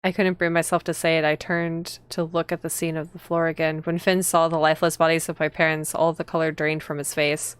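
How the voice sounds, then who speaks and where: A woman's voice: sits low, flat delivery, fairly quick, hardly any pauses, smooth, dry recording, close to an everyday voice. A woman voicing a shaken young noblewoman who tells her story in a dramatic first-person visual novel reading. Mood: anxious.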